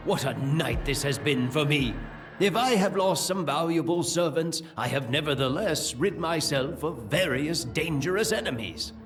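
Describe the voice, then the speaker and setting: An actor playing a gloating, treacherous priest, a grandiose and taunting villain in a fantasy audio drama. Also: male voice, a little high. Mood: gloating triumph. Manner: theatrical, taunting.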